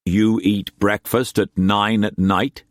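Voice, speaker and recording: A man voicing a stern, forceful merchant leader who speaks bluntly. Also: fairly low pitch, flat delivery, slow pace, no room sound, studio quality. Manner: blunt, commanding.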